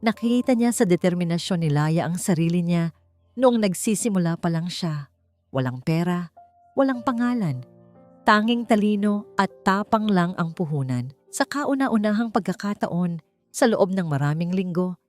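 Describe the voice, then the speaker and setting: A woman's voice: lowish voice, animated, normal speed, darkish tone. A woman with a Filipino accent reading a story as dramatic scripted audiobook narration. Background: background music.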